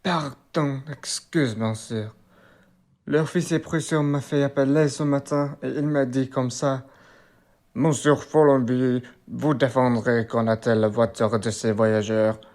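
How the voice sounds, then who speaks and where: A deferential country innkeeper acted by a man, unhurried and slow of speech. He is deliberate and respectful, in amateur radio drama style. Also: mid-range voice, fairly even intonation, a little mellow, close to an everyday voice. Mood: apologetic, obedient. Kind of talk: amateur radio play acting.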